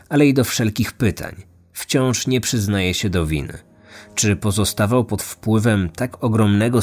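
A man hosting a true-crime podcast, reading scripted narration. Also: on the low side.

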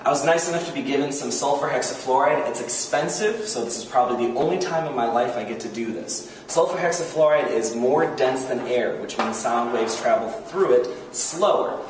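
A man's voice: medium pitch, a little fast, few pauses. A man in his thirties giving an informal science demonstration to camera in a hallway, picked up by a distant camera microphone. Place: hard-walled indoor hallway. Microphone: camera a few metres away. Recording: echoey hallway, distant camera mic, noisy.

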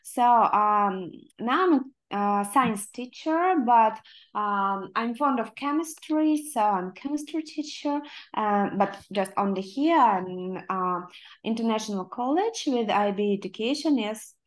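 A woman's voice: on the high side, expressive, measured pace. A woman from Russia, a chemistry teacher, introducing herself hesitantly on a video call. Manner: hesitant, searching for words. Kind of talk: casual self-introduction.

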